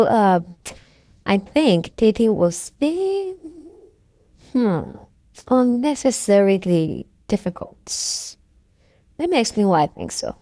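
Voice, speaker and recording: A woman's voice: medium pitch, mellow, dry recording, clean studio sound. A woman giving casual, opinionated commentary on a reality show, often seeking agreement from her viewers and laughing between points.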